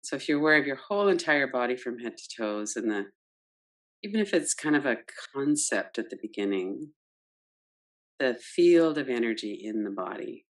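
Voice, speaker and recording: Gentle woman guiding a meditation. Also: very deep voice, animated. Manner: gentle, unhurried, guiding with soft questions. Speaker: meditation teacher. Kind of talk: guided yoga nidra meditation.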